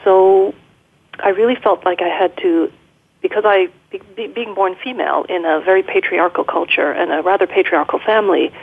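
A middle-aged woman speaking as an interview guest, reflective and measured, over a narrow phone-like line. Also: lowish voice, slightly rough. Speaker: guest, leadership coach. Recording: muffled, band-limited phone-like line.

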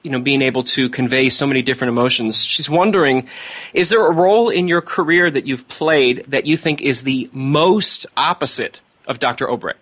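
A man hosts the interview. His voice sits at an ordinary pitch, and he talks in an easy, rambling way, reaching for fillers as he goes. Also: fairly quick, a bit bright. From United States. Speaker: interview host. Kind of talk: casual interview chat.

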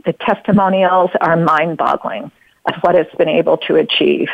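An older woman hosts a talk radio show in a lowish voice. She rambles, stops and restarts with plenty of fillers. The sound is dry and a little dull, like lo-fi radio. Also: fairly even intonation, vocal fry, dry recording. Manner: halting, many fillers and restarts.

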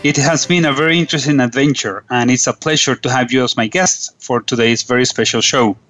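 A man's voice: mid-range voice, fairly quick, fairly bright tone, soft background music. Earnest Venezuelan-born male radio host. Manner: earnest, formal, with frequent restarts. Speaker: radio show host and business coach. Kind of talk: scripted radio monologue. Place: radio studio.

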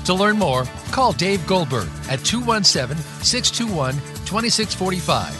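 A man reading scripted radio promos and station announcements in a lively way. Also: sits high, normal speed, over music.

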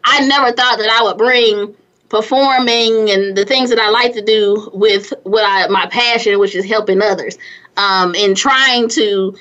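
A woman who grew up in California with a squeaky voice, hosting a casual motivational talk show, chatty and energetic with frequent laughs and restarts. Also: unhurried, muffled recording.

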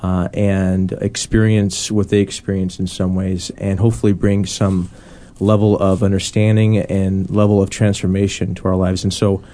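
A man's voice: deep voice, flat delivery, normal speed, few pauses, dark tone. A man on a discussion panel, explaining casually and loosely, restarting phrases as he goes. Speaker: panelist on a Bible study discussion.

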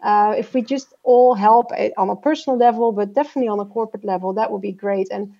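A woman's voice: mid-range voice, fairly even intonation, quick pace, gravelly, highs cut off. A businesswoman guest, raised in Peru, introducing herself in a podcast interview with many hesitant fillers. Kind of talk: interview answer.

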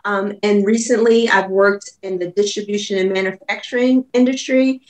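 A woman talks loosely and chattily as a guest on an interview show, with plenty of ums and restarts. Her voice sits at a middle pitch. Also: steady tone, normal speed. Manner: chatty, many fillers and restarts. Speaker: guest, business continuity consultant. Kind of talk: casual interview talk.

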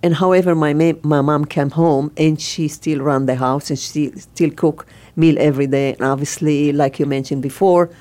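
An Israeli woman speaking as a guest on a radio interview. Her voice sits low and mellow, and she tells her story slowly, with many pauses and restarts. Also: steady tone. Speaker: celebrity chef guest.

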